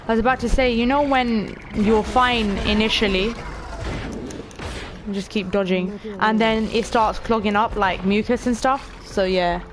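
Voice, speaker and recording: A woman in her late teens with a stuffy nose, casually narrating a game stream, chatty and excitable, swearing offhand and talking to her viewers. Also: medium pitch, unhurried, background noise. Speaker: gaming streamer. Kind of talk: casual let's-play commentary.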